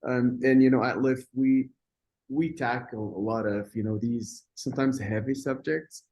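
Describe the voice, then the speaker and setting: A man interviewing a guest for a film festival in an informal, halting way, searching for words. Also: mid-range voice, fairly even intonation, a little mellow, poor audio.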